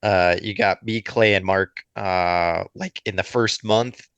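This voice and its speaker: A man with a lowish, bright, forceful voice, guesting on a podcast as a player. He counts off facts eagerly in casual chat. The sound is dry. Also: fairly even intonation, slow, clear, resonant tone, no room sound, lo-fi sound.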